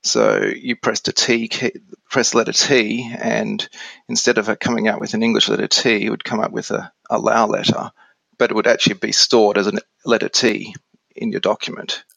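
An Australian man in his forties explaining things casually as an interview guest on software. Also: lowish voice, little pitch movement, normal speed, vocal fry, no room sound, rough recording. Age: 40s.

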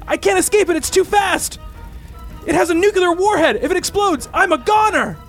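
A man acts out a young comic-book superhero in a radio play. The boy's voice is strikingly high and anxious, delivered in melodramatic exclamations. Soft music plays underneath. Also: moderate pace. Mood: panicked. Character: frightened young superhero boy, hot-headed and anguished.

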